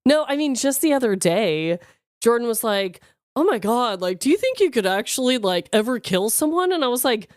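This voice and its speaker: A woman's voice: on the high side, big pitch swings, moderate pace, dry recording, studio quality. A woman co-hosting a podcast in casual, candid chat, emphatic and slangy, with some swearing. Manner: candid, emphatic, profane, talking in slangy asides. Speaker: podcast co-host.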